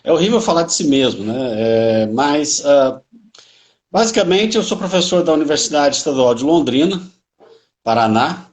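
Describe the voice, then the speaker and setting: A man's voice: on the high side, animated, moderate pace. A man, a history professor answering interview questions modestly, often correcting himself. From Bauru, São Paulo state, Brazil. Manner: modest, with restarts and self-corrections. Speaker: university history professor, guest.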